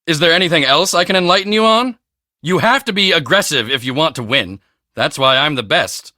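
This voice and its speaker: A man voicing a cocky, boastful teenage high school football star in a video game, with a swaggering, emphatic, self-important delivery. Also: lively intonation, fairly quick, sharp tone, no echo, studio quality. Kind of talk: video game character dialogue.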